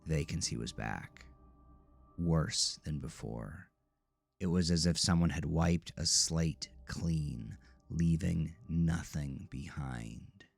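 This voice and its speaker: A man's voice: very deep voice, takes it slowly, gravelly, slightly rough. A man narrating a scripted psychological horror story as a dramatic narrator.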